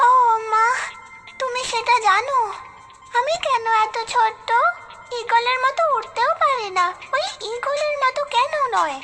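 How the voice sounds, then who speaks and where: A very high tiny sparrow chick. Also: female voice, unhurried. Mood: plaintive. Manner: childlike, pleading and wondering. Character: tiny young sparrow chick, small and unsure of himself. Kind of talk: Bengali cartoon dubbing. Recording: background music under the voice.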